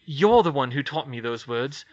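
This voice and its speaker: A man's voice: mid-range voice, expressive, normal speed, lo-fi sound, close to an everyday voice. A man voicing a smug, scheming middle-aged woman gossip journalist, pushy in tone. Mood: cool.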